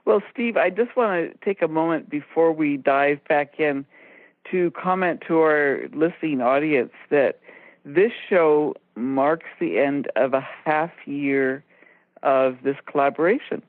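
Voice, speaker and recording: A woman co-hosting talk radio over a tinny phone line, explaining ideas in a measured, deliberate way. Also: deep voice, no echo. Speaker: radio show co-host.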